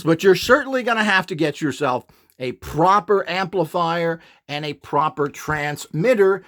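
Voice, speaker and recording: Opinionated man with a higher-pitched, swooping voice. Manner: opinionated, dismissive of rivals. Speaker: instructor demonstrating his homemade devices. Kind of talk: informal unscripted demonstration talk.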